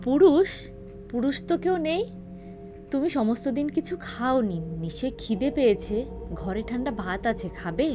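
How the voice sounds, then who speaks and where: An uncanny, welcoming ghost woman from a village horror cartoon, voiced by an actress. Her voice is high and very animated in its melody, with prominent music playing behind it. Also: normal speed, natural voice. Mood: eerie, coaxing. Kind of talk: animated horror story dialogue.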